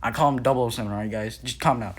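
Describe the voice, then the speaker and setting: A man's voice: mid-range voice, racing, slightly rough. A young man talking casually in a video, rambling with many fillers, restarts and self-corrections. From Nepal. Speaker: youtuber. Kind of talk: casual lore video narration.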